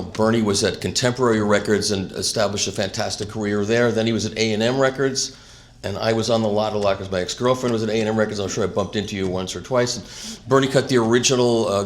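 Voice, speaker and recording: A man's voice: on the low side, slight background noise. A man hosting an award gala, speaking off the cuff in a rambling, anecdotal way into a handheld microphone. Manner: rambling, many restarts, chuckling. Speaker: event host and presenter. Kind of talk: unscripted anecdotal speech.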